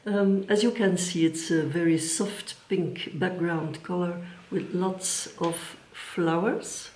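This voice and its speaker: A woman from Belgium whose first language is Dutch, speaking English with a Flemish accent and hosting her craft channel, gentle and sometimes searching for her words. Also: lowish voice, fairly even intonation, slow pace, reverberant, slight background noise. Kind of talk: casual chat to camera.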